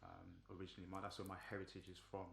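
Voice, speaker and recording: Low-voiced man talking in an echoey room. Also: very fast, vocal fry, a little hiss or hum. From Zimbabwe. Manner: relaxed, many ums and restarts. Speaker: vlogger. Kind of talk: casual explanatory talk.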